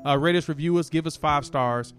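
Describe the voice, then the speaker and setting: A man's voice: fairly high pitch, fairly quick, soft background music. Laid-back man chatting sports. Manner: relaxed, laughs often, storytelling. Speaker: sports podcast co-host. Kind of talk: casual sports chat.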